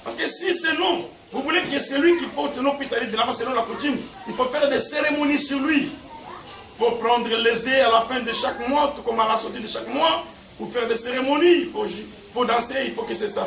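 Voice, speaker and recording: High-voiced male preacher, echoey and distant. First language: a language other than French. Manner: emphatic, repeats words, chuckles often. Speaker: pastor. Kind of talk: preaching teaching sermon. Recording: echoey, distant mic, background noise.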